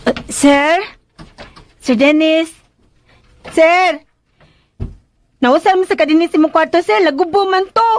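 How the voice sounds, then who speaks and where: Super high filipina housemaid, radio drama, flustered n hesitant, always exclaiming. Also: female voice, slow, long pauses, a little hiss or hum. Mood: concerned. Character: flustered, eager-to-please young housemaid. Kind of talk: Philippine radio drama acting.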